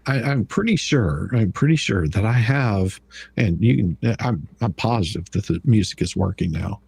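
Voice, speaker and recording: A man with a husky, raspy voice hosting a live stream in a casual, folksy way, often repeating himself as he explains. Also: on the low side, animated, normal speed, darkish tone. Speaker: live stream host running the show.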